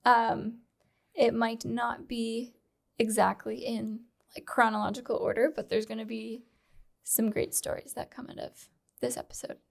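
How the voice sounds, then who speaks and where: A woman's voice: fairly high pitch, level tone, measured pace, creaky. A woman in her twenties hosting a podcast, interviewing casually into a close studio microphone. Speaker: podcast host. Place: small indoor podcast studio. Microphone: close boom-arm studio microphone.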